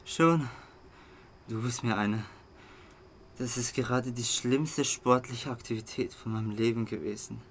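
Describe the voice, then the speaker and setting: A man's voice: mid-range voice, measured pace, fairly bright tone, a little hiss or hum. An actor with a Swiss German accent playing a drunk, remorseful young man in a radio drama. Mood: tipsy, complaining. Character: drunk, remorseful young man confiding in his best friend.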